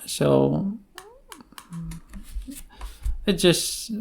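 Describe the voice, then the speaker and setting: A man giving an unscripted tutorial explanation in a halting way, with many filled pauses. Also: on the high side, vocal fry. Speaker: programming tutorial presenter.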